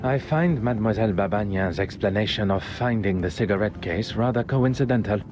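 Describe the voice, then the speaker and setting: An actor playing a shrewd, courteous, self-assured detective with a Belgian French accent, speaking formally and precisely in a game. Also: male voice, fairly low pitch, a little fast, dull audio, background noise, natural voice. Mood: brooding, deliberate. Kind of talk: scripted game dialogue and narration.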